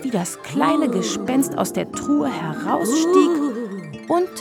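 A woman narrating a scripted children's story in a measured, expressive way, with a creaky voice. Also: high voice, loud music behind the voice.

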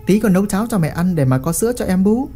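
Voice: mid-range voice, animated, quick pace, warm tone, soft background music, pitched above the performer's own voice, natural voice. A storyteller voicing a devoted young son who provides for his mother. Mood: cheerful.

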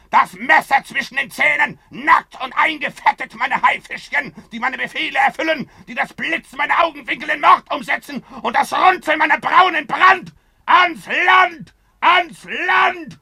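An actor playing a savage, gleeful pirate captain in a radio play, gloating theatrically and relishing destruction. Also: male voice, extremely high pitch, a little slow, vocal fry, pushed and bright, no echo, studio quality, full character voice. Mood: frenzied command. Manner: theatrical, gloating, swinging from murmur to bellow. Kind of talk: German radio play acting.

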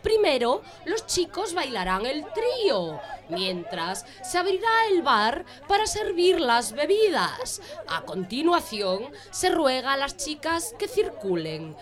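Woman playing a gushing, high-voiced ball hostess. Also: big pitch swings, takes it slowly, few pauses, heavily acted. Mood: breezy, festive announcing. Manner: effusive, sighing, giggly. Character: gushing, theatrical lady hostess of a grand ball. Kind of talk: audio drama announcement speech.

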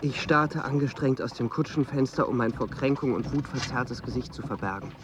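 A man's voice: mid-range voice, a little fast, noisy background, close to an everyday voice. A man acting a timid young student who narrates his travels with his father in a radio play, earnest in tone. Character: young male student son, hurt and timid.

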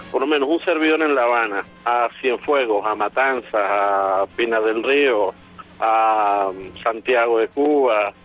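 A man calling in by mobile phone, rambling with lots of fillers. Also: mid-range voice. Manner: rambling, many fillers like "bueno" and "o sea". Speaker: phone-in guest. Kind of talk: casual chat by phone. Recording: dull phone line.

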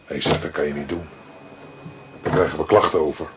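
Low, distant, impatient junior detective. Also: male voice, steady tone, a little fast. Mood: impatient, wary. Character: impatient, uneasy male junior detective, the sidekick. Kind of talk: Dutch crime radio drama acting. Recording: noisy, distant from mic, clicks.

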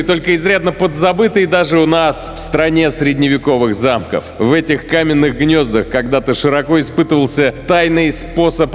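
An actor playing a cold, boastful, fanatical SS battalion commander, delivering a theatrical, sardonic monologue in a radio play with deliberate emphasis. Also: male voice, a little high, lightly acted. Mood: gloating, boastful. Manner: theatrical, sardonic, contemptuous chuckling asides, deliberate emphatic phrasing. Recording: reverberant hall sound, faint music.

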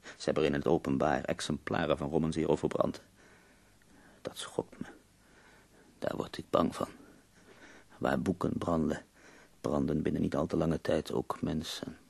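An actor in a radio play voicing an ailing, embittered nineteenth-century poet with formal, ironic, deliberate speech. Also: male voice, rumbling low, flat delivery, darkish tone, full character voice. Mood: shaken, grave. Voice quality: gravelly, husky. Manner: formal, deliberate, articulate, wry. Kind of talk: Dutch radio play acting.

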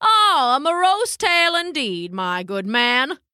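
A woman voicing a pompous, theatrical male raccoon from a storybook, with florid, drawn-out exclamations. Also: sits high, big pitch swings, bright, forceful tone, no echo, studio quality, voice pushed up, exaggerated voice. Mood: grandly mournful. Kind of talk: storybook character voice.

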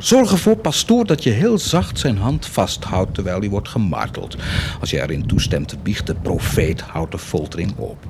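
An actor playing a proud, cruel, blasphemous warlord in a theatrical radio drama, declaiming and mocking. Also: male voice, on the low side, background noise. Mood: cruel mockery. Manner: declamatory, mocking, swinging from hushed to shouted.

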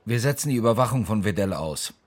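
An actor playing a curt police officer giving orders in a radio drama. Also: male voice, on the low side, animated, fast, no echo. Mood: businesslike. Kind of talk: naturalistic radio drama dialogue.

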